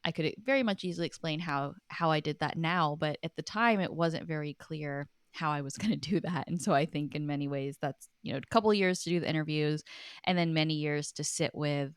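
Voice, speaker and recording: A woman's voice: deep voice, a little fast, clear, resonant tone, no room sound, studio quality. A woman speaking as an interview guest, chatty and earnest, telling reflective stories in a conversational way. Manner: earnest, chatty, laughs easily. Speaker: podcast guest, writer and documentary producer.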